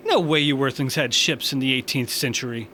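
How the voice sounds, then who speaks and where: A man's voice: mid-range voice, animated, moderate pace, sharp tone, a little hiss or hum. A man playing an easygoing young Martian bar owner in a science fiction audio drama, talking casually with dry sarcasm. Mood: wry banter.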